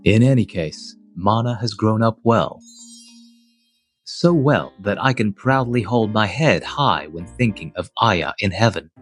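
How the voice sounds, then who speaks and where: A man playing a mild, wistful adult guardian, narrating a manga dub in a measured, reflective way. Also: fairly low pitch, expressive, faint music behind. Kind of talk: scripted first-person manga dub narration.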